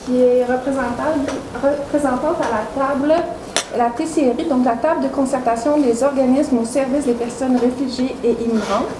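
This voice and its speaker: A woman's voice: high-pitched, steady tone, normal speed. A woman presenter giving a formal introduction of a speaker, recorded with roomy echo and noise. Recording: roomy, echoing sound with heavy noise.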